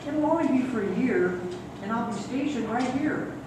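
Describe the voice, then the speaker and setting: A woman's voice: fairly high pitch, expressive, normal speed, lightly acted. A woman playing an earnest young daughter in an amateur radio play, reading her lines plainly. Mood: pleading. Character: earnest young daughter eager to join the Navy. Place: echoey room at a senior center. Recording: roomy echo, distant mic, clear noise.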